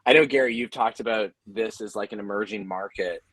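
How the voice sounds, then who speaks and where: A man's voice: fairly low pitch, brisk, a bit bright, no echo. A man speaking conversationally on a panel, analytical, often restarting words as he works through an idea. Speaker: panelist, audio drama creator.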